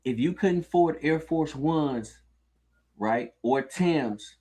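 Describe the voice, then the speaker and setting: A man's voice: on the high side, expressive, slow pace, dark tone, husky. A middle-aged American man co-hosting a casual nostalgic chat, speaking in slang and putting questions to viewers.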